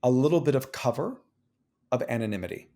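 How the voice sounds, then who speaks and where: Guy with a gravelly voice, chatty and animated, keeps repeating himself. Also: mid-range voice, a little fast. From Cuban-American. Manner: animated, repeats phrases and restarts often. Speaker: guest. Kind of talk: casual conversation. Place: New York City.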